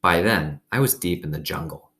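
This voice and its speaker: Man, low voice, expressive storybook reading, casual asides. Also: normal speed. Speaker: reader reading a children's novel aloud to students.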